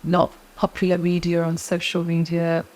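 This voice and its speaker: Soft-spoken middle-aged woman giving advice. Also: on the low side, completely flat delivery, moderate pace. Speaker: guest. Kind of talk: interview conversation.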